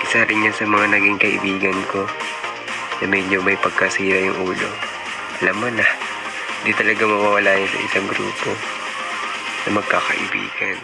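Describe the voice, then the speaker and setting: Low male voice, young Filipino, earnest student played by a man, motion comic narration and dialogue, heavy music backing. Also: monotone, normal speed, gravelly, pauses often. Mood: reflective. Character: earnest male college student dreaming of fashion design. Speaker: narrator and lead character. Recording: loud music over the voice.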